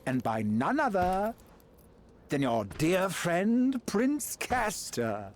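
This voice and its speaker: An actor playing a flamboyant, cruel villain lord in a video game, haughty and mocking in a theatrical way. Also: male voice, sits high, huge pitch swings, drawn-out words, a little hiss or hum, exaggerated voice. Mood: smug, mocking. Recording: loud music behind. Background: game music.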